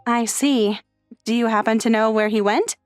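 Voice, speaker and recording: A woman voicing an earnest, worried high school girl in an anime-style comic dub, with expressive delivery. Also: moderate pace, clear, resonant tone, dry recording, clean studio sound, soft background music, lightly acted. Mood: hesitant.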